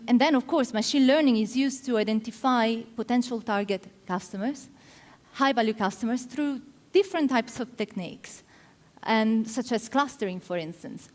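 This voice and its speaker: A woman's voice: mid-range voice, darkish tone. A middle-aged woman from Italy with an Italian accent, giving a conference talk and explaining her points with frequent ums. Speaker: conference speaker. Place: large conference hall. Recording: hall with long reverb.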